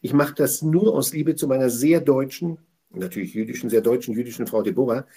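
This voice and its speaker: Emphatic, rambling man with a husky voice. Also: medium pitch, a little fast, dark tone. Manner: emphatic, digressive, repeats phrases. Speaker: guest speaker on Torah portion. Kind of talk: effusive conversational talk.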